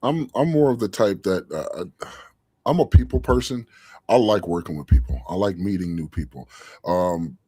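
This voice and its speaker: A man's voice: low voice, expressive, normal speed, warm tone, breathy edge, dry recording. A man co-hosting a fan podcast, talking earnestly in casual chat and often adding "you know what I'm saying". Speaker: co-host of a fan show.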